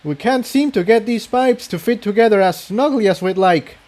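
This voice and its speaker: A man's voice: sits high, moderate pace, darkish tone, pitched above the performer's own voice, close to an everyday voice. A man voicing an earnest, practical young male unicorn pony who explains things matter-of-factly in a story. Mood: explaining.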